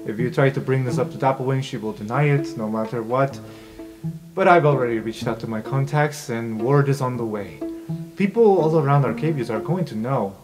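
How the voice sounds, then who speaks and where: A man's voice: mid-range voice, a little fast, over music, close to an everyday voice. A man voicing a grave, secretive university professor who speaks with emphatic repetition in an improvised tabletop game.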